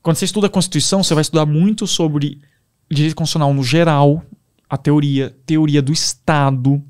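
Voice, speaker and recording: A man's voice: on the high side, brisk, clean tone. Enthusiastic young Brazilian man. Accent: Brazilian Portuguese. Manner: animated, enthusiastic storyteller. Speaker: podcast guest. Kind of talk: casual storytelling.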